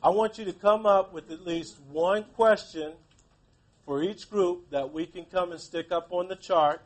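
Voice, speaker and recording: A male teacher with a fairly high voice leads an interactive read-aloud lesson. He keeps prompting his pupils with questions and gives them instructions as he goes. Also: a little slow.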